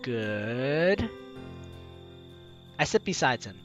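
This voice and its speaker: A man's voice: mid-range voice, big pitch swings, extremely slow, lo-fi sound, over music. A man hosting a game playthrough, reading narration and dialogue aloud expressively, with casual asides. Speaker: host of a video game playthrough.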